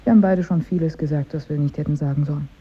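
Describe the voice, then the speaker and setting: An actress playing a worried, reproachful wife with a husky voice and a weary, pointed manner, in 1950s radio drama style. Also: female voice, sits low, steady tone, very fast. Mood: hurt, reproachful. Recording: muffled old radio recording.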